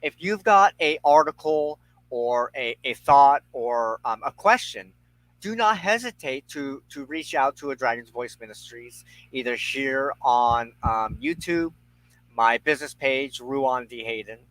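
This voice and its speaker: A man hosting an informal online show, speaking in a halting, deliberate way as he searches for words. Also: medium pitch, lively intonation, a bit bright, no echo, low-quality recording. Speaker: host of a weekly online show. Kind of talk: informal livestream sign-off and appeal.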